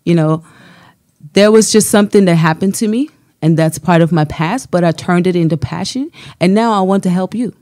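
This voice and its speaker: A woman's voice: lowish voice, normal speed, dark tone, dry recording. German-accented woman, earnest life coach guest on a dry, close mic. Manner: earnest, motivational, faith-filled. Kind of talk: radio interview, personal testimony.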